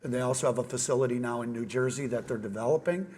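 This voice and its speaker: A man's voice: medium pitch, rapid-fire, a little mellow. A man on a conference panel answers an audience question plainly and informatively. He explains his organization's training with casual asides and a few fillers. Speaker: trade association trainer on a panel.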